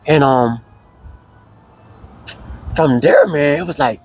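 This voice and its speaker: Man guest, hoarse and husky, fairly high voice, relaxed storytelling, faint music underneath. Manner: relaxed, slow, with frequent fillers. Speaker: interview guest. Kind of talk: casual storytelling interview.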